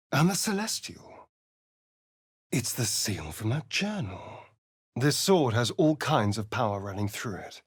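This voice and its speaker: Sly vampire rogue, very animated pitch, dry close sound, arch, sardonic, video game character. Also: male voice, husky, no room sound, full character voice. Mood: tense, theatrical. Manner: arch, flamboyant, sardonic, theatrical delivery. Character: sly, vain, theatrical male vampire spawn rogue. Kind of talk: video game voice acting, dramatic lines and narration.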